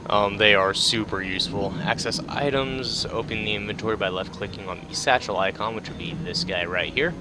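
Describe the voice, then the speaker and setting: Low-voiced laid-back man commentating a game. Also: brisk, hardly any pauses, background noise. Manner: hesitant, laid-back, rambling. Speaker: game player commentating a playthrough. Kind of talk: casual game commentary, partly reading on-screen tips. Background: game music.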